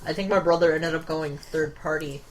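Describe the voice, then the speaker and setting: A podcast panelist chatting casually about video games in a slightly halting way. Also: medium pitch, steady tone, low-quality recording. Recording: faint room noise.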